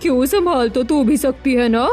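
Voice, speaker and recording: Woman as high-voiced scheming middle-aged mother. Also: moderate pace, a little mellow, soft background music. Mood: scolding, scheming. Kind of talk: Hindi moral story dramatized dialogue.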